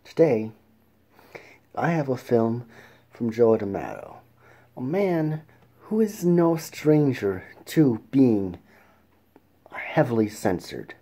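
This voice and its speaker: A man hosting a casual film review show, talking in a halting way with restarts and throat clearing. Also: mid-range voice, lively intonation, dark tone. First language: English.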